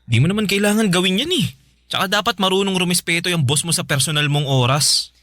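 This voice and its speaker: A man's voice: sits high, expressive, quick pace, sharp tone, no echo, clean studio sound. An actor playing a neglected young Filipino boyfriend in a radio drama, whiny and pleading as he protests. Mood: protesting, frustrated.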